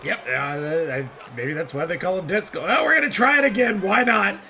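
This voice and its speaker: Male play-by-play caller, high, gravelly, goofy and excitable; noisy mic. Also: moderate pace. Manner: excitable, rambling, bursts into shouted calls. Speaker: play-by-play commentator. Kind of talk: comedic wrestling commentary.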